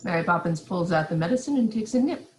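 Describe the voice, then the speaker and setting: A woman's voice: lowish voice, expressive, fast talker, darkish tone. A woman giving scripted narration, reading out stage directions for a play. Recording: some background noise.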